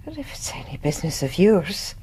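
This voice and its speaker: Prim, expressive ward sister. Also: female voice, lowish voice, fairly quick, husky, exaggerated voice. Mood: stern. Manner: prim, dry, formal. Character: petite female hospital ward sister, stern yet drily amused. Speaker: actress in a radio drama. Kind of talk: radio drama acting.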